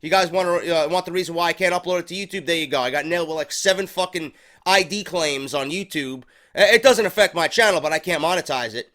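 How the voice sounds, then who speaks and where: A man's voice: on the high side, quick pace, a bit bright. A man with a stuffy head cold hosting a casual wrestling review show, rambling and swearing freely. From New York. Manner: profane, rambling, unscripted.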